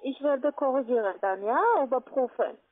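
High pitched lady w foreign accent in german, tinny phone line, walking someone thru steps, keeps checking. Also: normal speed. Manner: insistent, repeatedly checking with tag questions. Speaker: call-center phone scammer. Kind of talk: step-by-step phone instructions.

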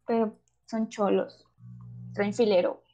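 A woman's voice: mid-range voice, little pitch movement, slow, dark tone, muffled recording. A young woman with a northern Mexican accent co-hosting a casual livestream chat, candid and slangy. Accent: northern Mexican Spanish. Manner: slangy, laid-back, candid. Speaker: podcast co-host.

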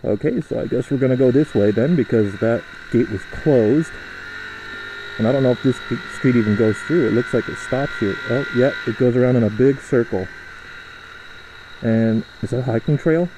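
Young man, mellow voice, chatty and rambling, helmet mic, faint road noise. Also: mid-range voice, rough recording. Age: young adult. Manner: rambling, chatty, halting with fillers. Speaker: motovlogger. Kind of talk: casual riding commentary. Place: riding a motorcycle outdoors through a suburb.

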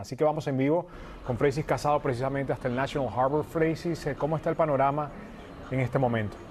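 A man anchoring the news from a TV studio, speaking in a measured, formal way as he hands over to a reporter. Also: medium pitch, darkish tone, a little hiss or hum.

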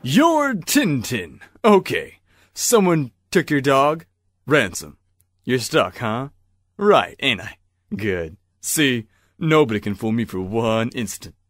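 A man's voice: medium pitch, sing-song, slow, a bit bright, no room sound, studio quality, exaggerated voice. A man playing a pompous, bumbling 1930s hotel detective in an audio drama, rattling off deductions. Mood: cocksure.

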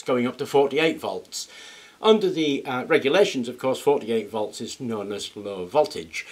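A middle-aged man chatting casually as he explains a project, self-deprecating and hands-on, recorded in an indoor workshop. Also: medium pitch. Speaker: workshop video host. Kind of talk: casual demonstration.

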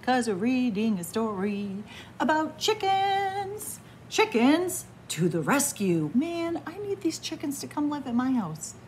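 A middle-aged woman reading a picture book aloud to children in a library, playful and animated. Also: high voice, unhurried, a little hiss or hum. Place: library, in front of bookshelves. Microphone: camera at close range.

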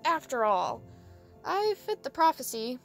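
A woman playing a small, unsure young female dragon in an audio drama, earnest and halting. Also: high voice, big pitch swings, faint music behind, full character voice. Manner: hesitant, halting delivery.

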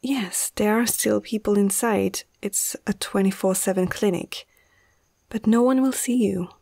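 A woman acting out a young female vet in an ASMR fantasy roleplay. She speaks slowly and hesitantly in a husky, breathy voice that often slips toward a whisper. She is nervous yet caring and firm, heard close up with a dry sound. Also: mid-range voice, fairly even intonation, mellow, no echo. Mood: reluctant, reassuring. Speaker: amateur ASMR roleplay performer.

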